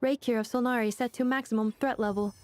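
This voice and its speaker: A woman acts a female robot analyst from a game. Her delivery is formal and clinical, every phrase precise, in a moderately high voice. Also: steady tone, quick pace, darkish tone, natural voice. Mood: clinical, warning. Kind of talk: anime game voice acting.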